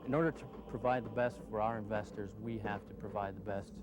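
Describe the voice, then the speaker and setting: A man's voice: lowish voice, fairly even intonation, fast, noisy background. A man making joking, mock-boastful remarks in a corporate promo interview. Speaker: materials manager.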